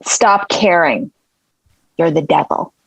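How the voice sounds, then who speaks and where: An actress playing the devil's blunt, disapproving companion, challenging in manner, in a drama script read. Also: female voice, sits low, slow pace, creaky, fairly bright tone, husky, dry recording, heavily acted, poor audio.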